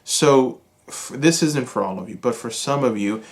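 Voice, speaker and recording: A Cuban American man giving a calm, reassuring tarot reading, speaking slowly and soothingly to his viewers. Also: medium pitch. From United States. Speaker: tarot reader. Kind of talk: pick-a-card tarot reading.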